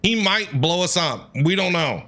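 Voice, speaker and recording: A man's voice: high voice, animated, moderate pace, pushed and bright. A man streaming a game with playful, casual reaction commentary, talking back to the characters.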